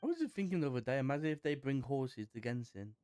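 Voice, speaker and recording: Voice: medium pitch, lively intonation, fast, warm tone, clean tone, no echo. A gameplay streamer reacting casually and offhandedly to the game.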